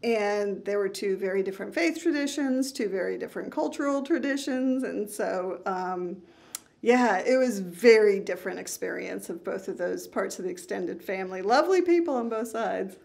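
A middle-aged woman from New England talks in an easy, earnest way. Her voice has a steady gravelly fry running through it. She sounds like a therapist chatting openly as a podcast guest. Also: medium pitch, moderate pace. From New England, United States. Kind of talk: casual interview conversation.

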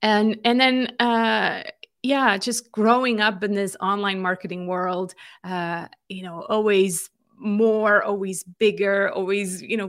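A woman's voice: medium pitch, a bit bright, no room sound, studio quality. A woman in her forties from Switzerland, a marketing consultant telling her story as an interview guest, thoughtful and full of small restarts. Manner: reflective, many fillers and restarts. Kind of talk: conversational interview.

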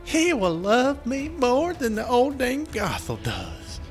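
A man voicing a naive young fairy-tale maiden in a slow, theatrical falsetto. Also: huge pitch swings, soft background music, heavily acted. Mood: earnest, dreamy.

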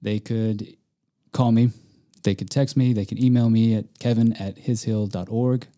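A man answers interview questions in a cabin living room, talking easily and adding the odd light joke. His voice is fairly low and very dark and mellow. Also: fairly even intonation, normal speed. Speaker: field rep and teacher.

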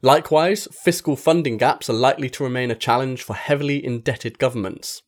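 A man reading a magazine article aloud as scripted narration, in a measured, formal delivery. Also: medium pitch. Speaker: article reader.

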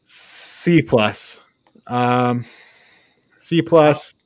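A man's voice: mid-range voice, extremely slow, clean tone, no echo. A man hosting a podcast, chatting about films in an informal, playful way. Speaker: podcast host. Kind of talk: casual film review chat.

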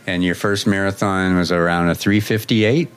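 Older man, very deep voice, host, relaxed questions, conversational. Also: moderate pace, clean tone. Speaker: host, running coach. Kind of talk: casual interview.

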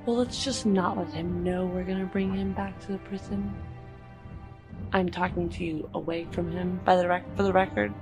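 Lowish-voiced woman gamer over music. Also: steady tone, normal speed. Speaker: tabletop game player. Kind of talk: casual in-game discussion. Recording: music under the voice.

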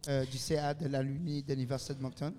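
A man's voice: mid-range voice, little pitch movement, quick pace, mellow. A man hosting a casual live panel interview, with a jovial, teasing manner. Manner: teasing, jovial, laughs often. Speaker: host moderating a panel discussion. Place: live recording in a university bar. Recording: reverberant room, live event recording.

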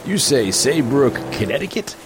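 An actor playing an eager, inquisitive young newspaper reporter in a western audio drama, a little hesitant and nervous. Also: male voice, medium pitch, big pitch swings, normal speed, background noise, lightly acted. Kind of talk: western audio drama acting with first-person narration.

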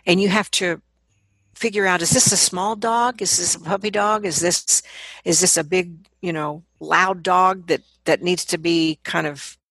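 A woman's voice: lowish voice, steady tone, measured pace, fairly bright tone, breathy edge, no room sound. A woman speaking as a vocal coach in a conversational interview, explaining her points with emphasis and repeating words to drive them home.

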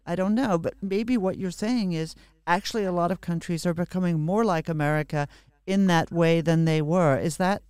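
A man's voice: high-pitched, normal speed, a little mellow, clean tone, no echo. A man co-hosting a panel discussion, asking a question in a probing, tentative way.

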